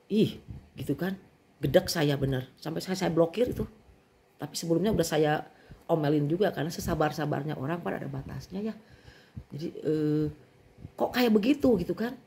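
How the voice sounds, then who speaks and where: A middle-aged woman with a Sundanese accent, venting in an indignant, animated monologue as a vlogger. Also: on the low side.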